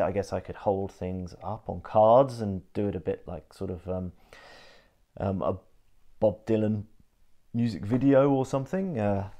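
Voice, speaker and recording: A man's voice: on the low side, a little mellow. A man in his thirties talking casually to camera in a living room, explaining things in a geeky, thorough, hesitant way. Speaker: host of a video channel. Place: living room indoors, on a sofa.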